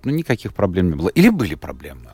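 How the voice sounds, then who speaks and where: Probing low-voiced male radio host. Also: wildly swooping pitch, rapid-fire, a little mellow, husky, dry recording. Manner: probing questions, reads listener messages. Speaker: radio talk show host. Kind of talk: radio interview.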